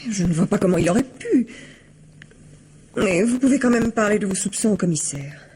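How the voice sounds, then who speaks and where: A woman playing an anxious, hesitant young married woman with a breathy, husky voice in a radio mystery drama. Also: mid-range voice, animated, normal speed, dark tone.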